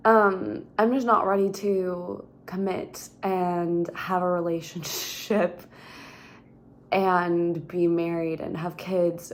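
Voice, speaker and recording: A woman, chatting casually in a rambling vlog at home, full of "like" and "um". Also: lowish voice. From Minnesota, USA. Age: 30s. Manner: rambling, chatty, heavy use of "like". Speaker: vlogger. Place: her home.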